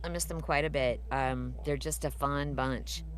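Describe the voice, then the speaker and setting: Chatty voice actress, low voice. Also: female voice, fairly even intonation, a little slow. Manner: chatty, warm storytelling with fillers. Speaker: voice actress guest. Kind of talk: casual live Q&A.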